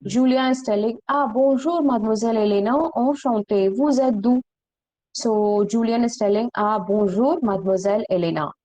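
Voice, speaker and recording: A woman teaching a French class, slowly repeating phrases and checking that students follow. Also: a little high, warm tone, rough recording. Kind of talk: online language class.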